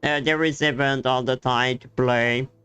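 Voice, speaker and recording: A man giving casual live game commentary as a streamer, explaining things haltingly in non-native English grammar. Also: medium pitch, steady tone, pushed and bright, smooth, no room sound. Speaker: gaming streamer. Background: faint game music.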